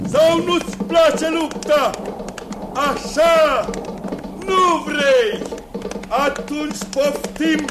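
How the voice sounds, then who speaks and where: Shrill, wrathful old sorcerer father. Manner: theatrical, booming exclamations. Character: menacing sorcerer father, gloating, wrathful pursuer on horseback. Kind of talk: 1960s Romanian radio theatre acting. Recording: 1960s radio recording, loud music.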